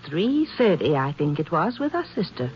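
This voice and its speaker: A hissy, muffled old radio recording of a small-town shop saleswoman. She is gossipy and fussy, with a lively, swooping delivery in 1950s radio drama style. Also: lowish voice, normal speed. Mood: chatty, helpful. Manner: chatty, fussy, hesitant when recalling.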